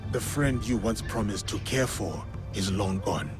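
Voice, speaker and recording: Deep-voiced stoic warrior. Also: male voice, lively intonation, music in the background. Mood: grave, resolute. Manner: measured, solemn, formal. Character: stoic, honorable warrior man in feudal Japan. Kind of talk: cinematic video game acting.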